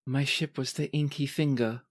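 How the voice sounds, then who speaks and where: A man's voice: mid-range voice, steady tone, pitched above the performer's own voice, lightly acted. Man acting a dazed, shaken ship captain. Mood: wistful. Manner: halting, bewildered questioning. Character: shaken, dazed revived male ship captain, grieving father.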